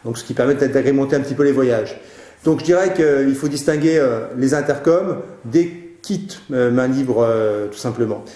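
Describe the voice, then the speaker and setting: A man with a dark, relaxed voice talks viewers through product advice. His delivery is casual and a little hesitant, and the sound is roomy with audible echo. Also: mid-range voice, moderate pace. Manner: hesitant, informal. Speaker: web show presenter answering viewers' questions.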